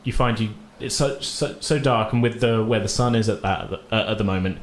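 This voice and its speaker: A man's voice: on the low side, brisk. A man narrating a tabletop role-playing game as game master, conversational, loosely describing things as he goes. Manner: conversational, hedging descriptions with "kind of" and "sort of".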